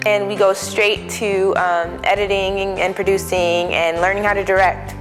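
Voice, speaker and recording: A woman student talking casually in an interview, relaxed and stumbling with fillers. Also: mid-range voice, moderate pace, big-room sound, over music, low-quality recording.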